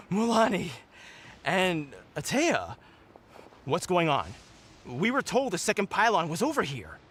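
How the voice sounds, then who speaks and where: A man's voice: high voice, huge pitch swings, slow, pauses often, a bit bright, slight background noise, full character voice. A man voicing an earnest, uncertain young tribesman in a video game, hesitant and questioning. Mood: puzzled, searching.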